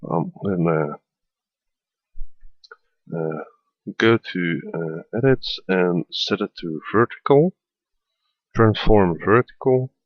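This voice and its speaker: A man from the Netherlands with a Dutch accent, hesitantly narrating a software tutorial as a voiceover with many fillers. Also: sits low, expressive, slow, pauses often, no echo, muffled recording. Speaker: tutorial maker. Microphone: voiceover at a computer.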